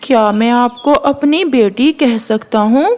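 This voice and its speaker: A woman voicing a kind, childless male woodpecker handyman with a gentle, courteous manner in a cartoon. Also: on the high side, unhurried, slight background noise, natural voice. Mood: kindly.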